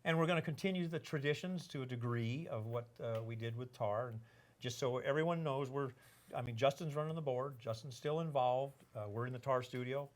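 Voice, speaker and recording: A man's voice: medium pitch, brisk. An older man hosting a casual podcast, rambling and joking, with lots of fillers and restarts. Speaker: podcast host, organization executive.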